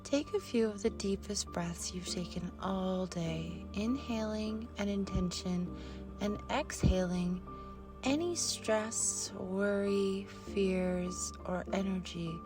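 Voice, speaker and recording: A woman narrating a guided meditation in a soft, soothing manner, with long pauses between short phrases. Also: medium pitch. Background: meditation music.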